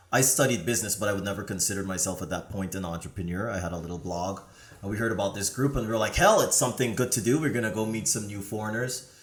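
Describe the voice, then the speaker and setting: Low-voiced animated man, echoey room. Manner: lively storyteller, swings into loud animated bursts. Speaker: podcast co-host, here as guest. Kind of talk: casual chat.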